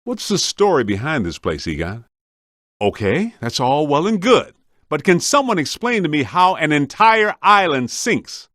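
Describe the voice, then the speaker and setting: A man's voice: fairly high pitch, sing-song, a little slow, a bit bright, no echo, studio quality. A man playing a streetwise, wisecracking ghost hunter in a video game, speaking in a colloquial, wry, plain-spoken way. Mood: dry, skeptical. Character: streetwise, wisecracking male ghost-hunting team member.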